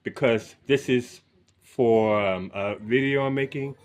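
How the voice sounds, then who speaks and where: A man hosting a casual interview, speaking in halting phrases and dropping in the odd Spanish word. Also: medium pitch. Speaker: host, interviewer.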